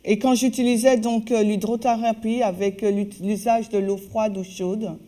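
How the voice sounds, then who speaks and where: A woman working as a live interpreter, speaking in halting phrases with frequent hesitations and restarts. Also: medium pitch, steady tone, warm tone. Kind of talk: consecutive spoken translation of a talk.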